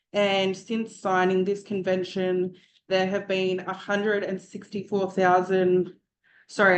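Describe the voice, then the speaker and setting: Woman, student presenter, mid-pitched projecting voice, live talk with light room noise behind. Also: low-quality recording. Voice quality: loud, projecting voice. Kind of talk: prepared presentation to an audience.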